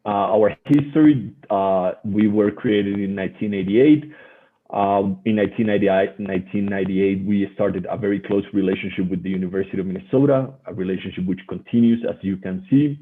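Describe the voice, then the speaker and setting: A man with a Colombian accent presents on a muffled, phone-like online call. His voice is fairly low and husky, and he speaks haltingly, often repeating words as he goes. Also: fairly even intonation. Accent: Colombian Spanish. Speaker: guest research associate presenting. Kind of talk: webinar presentation. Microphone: online video call. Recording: muffled, phone-like webinar audio.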